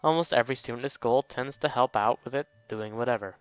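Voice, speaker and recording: A man's voice: medium pitch, quick pace, smooth, no room sound, muffled recording, natural voice. A man voicing a cheerful, flustered teenage schoolgirl from a visual novel, in a hesitant, bubbly, informal way. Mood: helpful, chatty.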